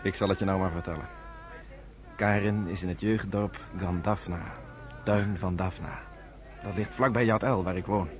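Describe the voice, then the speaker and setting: An actor playing an earnest, self-assured young man of the underground in a Dutch radio play, with a measured way of explaining things. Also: deep voice, steady tone, close to an everyday voice. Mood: grave.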